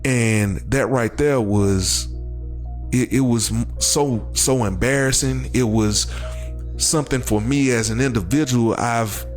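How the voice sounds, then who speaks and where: A man's voice: medium pitch, unhurried. The guest chair of an inspirational talk show, where a man earnestly shares his testimony in emphatic, repeated phrases with music faintly playing behind. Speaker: interview guest. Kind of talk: personal testimony, conversational storytelling.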